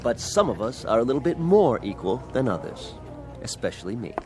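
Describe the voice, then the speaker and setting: An actor playing a brash male coworker who teases and boasts in a smooth-talking way. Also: medium pitch, big pitch swings, brisk, over music. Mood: cocky.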